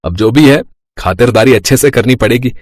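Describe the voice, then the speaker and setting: An actor playing a mild, hesitant, accommodating middle-class husband and younger brother in a scripted moral story. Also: male voice, medium pitch, fairly quick, a little mellow, dry recording, close to an everyday voice. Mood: hurried.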